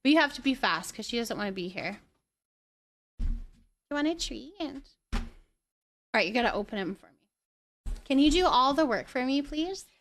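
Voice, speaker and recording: Female live stream host, fairly high register, chatty and informal, voices thoughts as they come. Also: lively intonation, moderate pace, long pauses, a bit bright, a little hiss or hum. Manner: chatty, thinks aloud. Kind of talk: casual live game stream chat.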